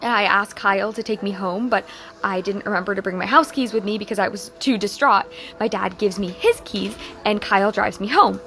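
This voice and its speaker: An American woman playing an earnest, grieving teenage high school girl in an audio drama, speaking haltingly and emotionally. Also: fairly bright tone, soft background music, natural voice. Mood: urgent, determined. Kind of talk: naturalistic audio drama dialogue.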